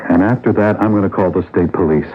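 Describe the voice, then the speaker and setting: An actor playing a practical, earnest young husband in a 1980 radio mystery drama, firm in manner, on an old radio broadcast. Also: male voice, deep voice, fast talker, phone-quality sound. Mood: urgent, determined.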